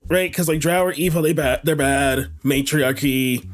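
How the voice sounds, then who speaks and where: A man's voice: fairly high pitch, normal speed. A man co-hosting a casual, joking panel chat in a wry, conversational way. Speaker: co-host.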